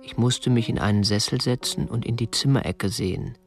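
A man's voice: mid-range voice, fairly quick, soft background music, natural voice. An actor playing a prim, unremarkable man in his twenties, a captor narrating matter-of-factly in a radio drama. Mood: detached recounting. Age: 20s. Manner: matter-of-fact, stiffly proper. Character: prim, unremarkable 27-year-old male captor, petty-bourgeois butterfly collector. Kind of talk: first-person narration and dialogue in a radio drama. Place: dry studio.

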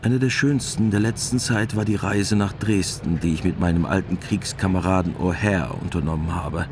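A middle-aged actor playing a weary, wry war veteran, narrating a literary radio drama in a laconic, resigned way. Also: male voice, deep voice, normal speed, background noise, natural voice.

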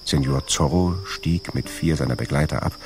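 Very deep, gravelly male narrator. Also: moderate pace. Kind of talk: scripted radio play narration.

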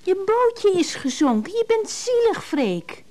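A woman's voice: extremely high pitch, sing-song, slow, a little mellow, full character voice. An actress playing an anxious married woman in a radio drama, tender, insistent and emotional, with hesitations. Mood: pleading, insistent. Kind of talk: naturalistic Dutch radio drama acting.

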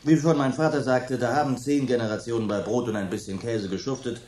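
A man's voice: mid-range voice, steady tone, fairly quick, darkish tone, close to an everyday voice. An actor playing an earnest man proudly recounting how he found his country house, in a radio drama. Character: proud middle-aged man, new country-house owner. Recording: roomy studio, faint hiss.

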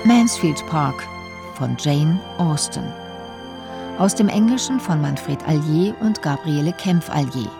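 A woman's voice: deep voice, animated, slow pace, gravelly, over music. A woman formally reading out credits as the announcer of a radio play.

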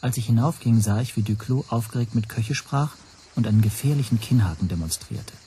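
An actor playing a reserved hotel guest who narrates a radio drama in the first person, measured and understated. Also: male voice, mid-range voice, mellow, a little hiss or hum, natural voice. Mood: composed, observant.

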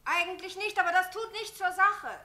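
A woman's voice: extremely high pitch, moderate pace, pushed and bright. A woman in a 1960s German radio play voicing a suspicious, curt lady calling down from upstairs, in an echoey, noisy recording.

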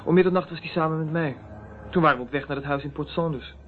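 A stubborn young man voiced by an actor in an old radio drama. He talks quickly and defensively in a fairly high voice. The narrow recording has clear noise. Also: lightly acted. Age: young adult. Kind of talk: Dutch radio drama acting.

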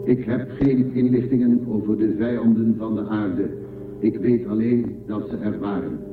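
An actor playing a programmed machine guardian of an ancient library in a science fiction radio drama, speaking formally and in measured phrases. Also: male voice, on the low side, steady tone, close to an everyday voice. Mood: impassive. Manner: formal, measured, matter-of-fact. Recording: echoey, distant, narrow-band, music behind.

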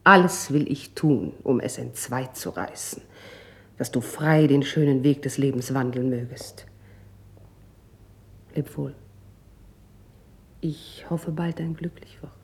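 An actress playing a gentle, solicitous noblewoman in a classical German radio verse drama, in soft, measured, poetic declamation. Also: rumbling low, gravelly, exaggerated voice. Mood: tender.

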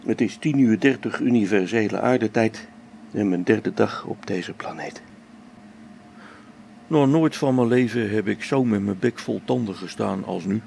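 An actor playing a middle-aged male space explorer whose faith is shaken, speaking a brooding, halting radio play log that sinks to hushed whispers. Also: lowish voice, a little hiss or hum. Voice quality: gravelly. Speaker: log-keeping space expedition member.

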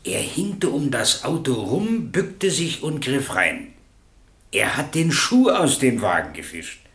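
An actor playing a plain-spoken railway crossing keeper giving blunt testimony in a German radio crime drama. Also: male voice, mid-range voice, big pitch swings, slow, a bit bright, exaggerated voice. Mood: certain, blunt. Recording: roomy, echoing radio drama studio.

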